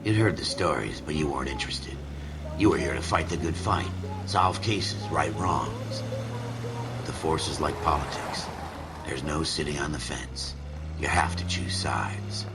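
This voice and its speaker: A man's voice: a bass voice, unhurried, very noisy. A man playing a cynical, world-weary American film noir narrator in a video game. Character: brooding, cynical hard-boiled noir narrator.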